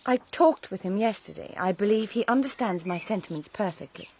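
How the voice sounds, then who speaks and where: Frank, dignified young gentlewoman. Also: medium pitch, animated, a little fast, slightly rough. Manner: formal, composed. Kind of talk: period radio drama acting.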